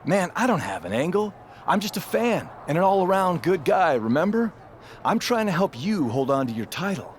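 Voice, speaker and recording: A veteran wrestler in a game cutscene, full of himself and very animated. He leans hard on phrases and stretches them out for drama, with a slight noise in the background. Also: high-pitched, normal speed. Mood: friendly, eager. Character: boastful veteran male wrestler with a cheery, wide-eyed alter ego. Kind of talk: scripted video game cutscene acting.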